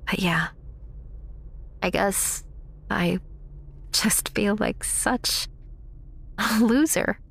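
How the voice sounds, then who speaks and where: A woman's voice: medium pitch, extremely slow, gravelly, pauses often, fairly bright tone, breathy edge, exaggerated voice. A woman playing a struggling young aspiring actress in an audio drama, theatrical, prickly and sardonic. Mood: dejected, weary. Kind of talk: naturalistic audio drama dialogue.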